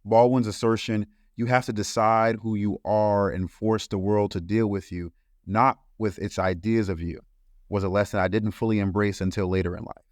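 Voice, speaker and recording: A man from Brooklyn, a podcast co-host reading a solemn, deliberate reflection. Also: fairly low pitch, fairly even intonation, no room sound, clean studio sound. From Brooklyn, New York. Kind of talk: scripted reflective monologue.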